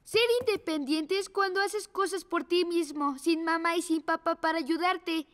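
A woman's voice: extremely high pitch, steady tone, normal speed, fairly bright tone. A proud boy pug. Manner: bossy know-it-all. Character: proud young boy pug, big brother. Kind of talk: Spanish dub of a preschool cartoon.

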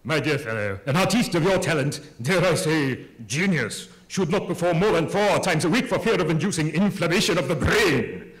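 A man's voice: on the high side, animated, normal speed, hardly any pauses, close to an everyday voice, rough recording. A man voicing a plummy, unctuous Victorian theatrical impresario with florid manners, in a comic stage story. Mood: smooth, insistent.

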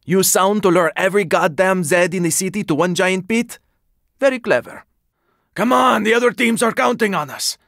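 A man playing a gruff, weary, sardonic squad fighter in a zombie video game, speaking in terse lines with a raspy voice. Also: sits high, moderate pace, a bit bright, no room sound, clean studio sound, full character voice. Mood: tense, alert. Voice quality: raspy, gravelly. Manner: terse, urgent, swearing. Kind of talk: video game character combat barks.

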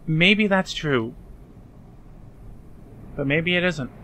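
Guilt-ridden, anxious young man, bright high voice, acted by a man. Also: expressive, extremely slow, slight background noise, full character voice. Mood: apologetic. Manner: hesitant, stammering, earnest. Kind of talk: fan dub voice acting, dramatic dialogue.

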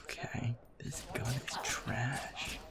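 A man's voice: mid-range voice, slow. A young man chatting casually as he plays a game, muttering, swearing and putting himself down. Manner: self-deprecating, muttering, often swearing. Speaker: gamer, audio creator. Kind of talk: casual chatter while playing a shooter game. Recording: noisy room with controller clicking. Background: game controller clicks.

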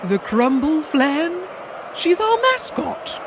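A woman acting an imperious comic-drama queen. She speaks in a measured, slow but very expressive voice, brisk in temper, with noisy ambience behind. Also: high voice, exaggerated voice.

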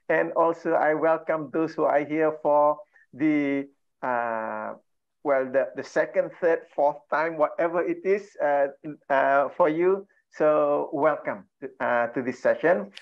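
A man's voice: fairly high pitch, takes it slowly, creaky. A middle-aged man from Malaysia hosting an online workshop on a video call, welcoming people with lots of "uh" fillers. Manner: frequent "uh" fillers, warm and laughing. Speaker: trainer and coach hosting an online session. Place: home room with bookshelves. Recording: slightly dull video call audio.

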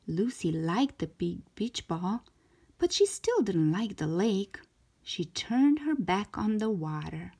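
A woman reading a storybook aloud for young children in an expressive, deliberate way, stretching out key words. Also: medium pitch, creaky, husky. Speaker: children's storybook reader, show host.

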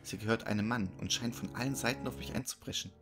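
A man's voice: medium pitch, fast. A man giving a scripted, dramatic narration of a horror story. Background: music behind the voice.

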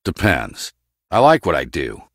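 A very low-voiced, brooding male scientist from a video game. He is gruff and guarded, speaking in terse, deadpan phrases. The recording is close and dry. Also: unhurried, no echo, full character voice. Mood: curt, guarded.

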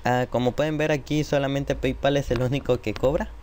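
A man's voice: medium pitch, steady tone, a little fast, darkish tone. A man narrating an informal screen-recorded tutorial step by step, addressing viewers directly. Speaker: tutorial presenter. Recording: noisy home computer microphone recording.

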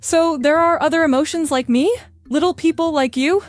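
A woman's voice: high voice, normal speed, no room sound, close to an everyday voice. A woman voicing an amazed, innocent young girl in a cartoon comic dub.

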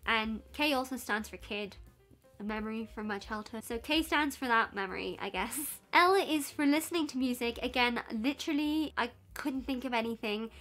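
A woman in her twenties with a soft Irish accent, chatting casually to camera in a sit-down vlog, giggly and full of fillers. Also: a little high, moderate pace, faint music behind. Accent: Irish, softened by years in London. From Ireland and Belgium. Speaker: YouTuber. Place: bedroom at home.

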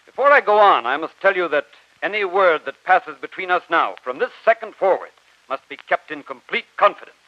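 An actor playing a grave, formal navy commodore with urgent, emphatic authority in a 1940s radio drama. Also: male voice, high voice, animated, brisk, no echo. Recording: dull, dry 1940s radio recording.

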